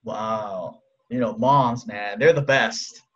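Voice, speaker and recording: A man's voice: on the low side, slow pace. A man hosting an interview, his first language Japanese, asking casual questions and answering with short, slangy, upbeat reactions. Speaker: interviewer, host. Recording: dull lo-fi sound.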